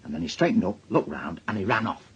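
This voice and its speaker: A man's voice: lowish voice, sing-song, fast, breathy edge, full character voice. An actor playing an anxious married man in a naturalistic radio drama, with a Northern English accent and a hesitant, defensive, pleading delivery. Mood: subdued, recounting. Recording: dull lo-fi audio, faint noise.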